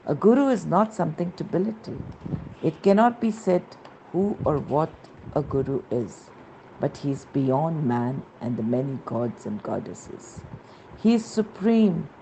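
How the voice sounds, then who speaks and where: A woman reading devotional narration in a reverent, measured manner with emphatic phrasing. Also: low voice, sing-song, dark tone, slight background noise, low-quality recording.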